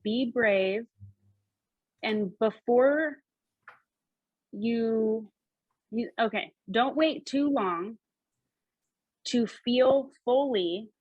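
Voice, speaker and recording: A woman working as a psychic medium, relaying messages in a halting, repetitive, animated way. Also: medium pitch, no echo, dull audio. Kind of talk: spoken reading, relaying messages in quotes.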